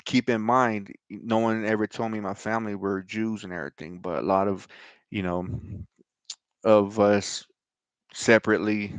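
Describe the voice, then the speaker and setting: A middle-aged American man with a low, smooth voice, recorded close and dry. He hosts his own show, moving between scripture reading and informal personal talk. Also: steady tone, moderate pace, no room sound. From Denver, Colorado, United States. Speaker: show host and scripture reader.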